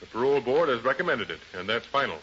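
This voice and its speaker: A kindly but authoritative male warden talks in a bright, medium-pitched voice with brisk, reassuring emphasis. It is vintage radio acting on a band-limited, noisy recording. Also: big pitch swings, dull audio. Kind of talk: 1930s radio drama acting.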